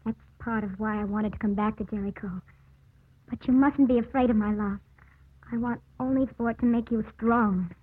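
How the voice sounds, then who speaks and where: A woman's voice: a little high, fairly even intonation, moderate pace, husky, no echo. An actress playing an earnest young woman torn by forbidden love, intense in the manner of old radio drama. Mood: tender. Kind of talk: 1940s-50s radio drama acting. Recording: muffled old radio broadcast.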